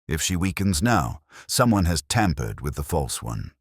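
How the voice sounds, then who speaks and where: A man's voice: very deep voice, big pitch swings, normal speed, slightly rough, no room sound, clean studio sound, exaggerated voice. A man voicing a grave, incisive detective in an audiobook, terse, cryptic and commanding. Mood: brooding, musing. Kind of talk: audiobook character acting.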